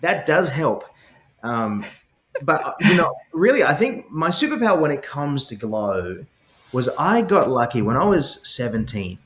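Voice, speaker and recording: A man's voice: on the high side, very animated, a little slow, a bit bright, roomy sound. A middle-aged man with an Australian accent speaks with passion as an interview guest, often repeating words as he starts his sentences. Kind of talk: earnest interview conversation.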